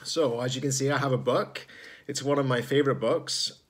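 A man in his forties, a teacher-librarian reading a children's picture book aloud, expressive and slightly husky, pausing to ask listeners questions. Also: mid-range voice. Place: indoors, room at home with sloped ceiling.

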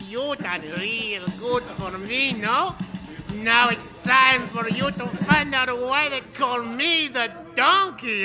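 An actor playing a big, flamboyant, leering gangster in a video game, drawing out words theatrically. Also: male voice, very high voice, heavily acted. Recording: music behind the voice.

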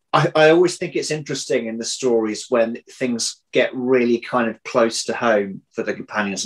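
A man in his thirties with a mid-pitched voice talks through his writing in an interview. He is reflective and conversational, often breaking off to rephrase, and plays himself down modestly. He speaks indoors at home, a little way from the computer. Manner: thoughtful, halting, self-deprecating. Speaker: interviewed audio drama writer. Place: domestic room indoors.

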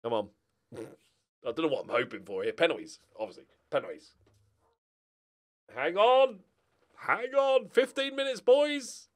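A man's voice: fairly high pitch, lively intonation, a little slow, long pauses. A middle-aged man giving casual, sweary commentary on a football manager game, talking to his players and viewers into a close mic. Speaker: gaming streamer. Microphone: close desk microphone.

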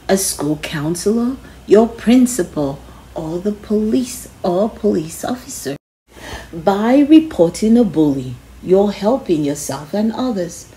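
A woman's voice: on the low side, mellow, poor audio. A woman reading a children's self-help book aloud in an instructive way, stressing key phrases.

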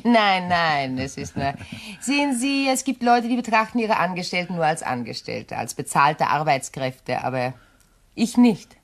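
A woman's voice: lowish voice, sing-song, measured pace. An actress playing a composed, touchy widowed lady of the house in a crime radio play, genteel and evasive. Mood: hesitant, gentle.

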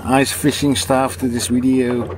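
A man's voice: mid-range voice, level tone, measured pace, noisy background. A middle-aged man narrating a fishing vlog casually, in an understated, dryly humorous way. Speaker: fishing vlogger.

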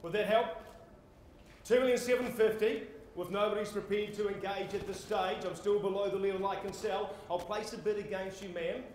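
A man's voice: sits high, steady tone, normal speed, a little mellow. A man in his forties with an Australian accent, calling a live property auction in a theatrical, projecting, courteous manner. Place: on stage in a large indoor conference hall. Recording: echoey conference hall with noise.

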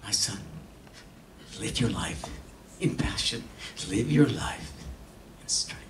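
Slow, solemn man in a dramatic reading. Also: fairly low pitch, animated. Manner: solemn, theatrical, with long pauses. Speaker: stage performer giving a reading. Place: stage in an auditorium. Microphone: stand microphone heard from a distant camera. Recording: echoey hall, distant camera, faint noise.

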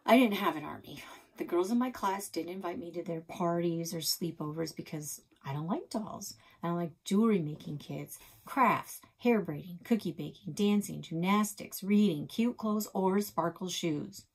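A middle-aged woman reads a children's chapter book aloud, calm and steady, slipping now and then into soft, breathy passages. Her voice is husky and sits slightly low. She records on a desk webcam in a small office. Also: normal speed. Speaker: librarian reading aloud.

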